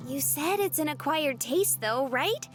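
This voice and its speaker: A woman voicing a sweet, sleepy young woman in a visual novel, with a soft, girlish, drawn-out delivery. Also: high voice, lively intonation. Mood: sweet, eager. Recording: background music under the voice.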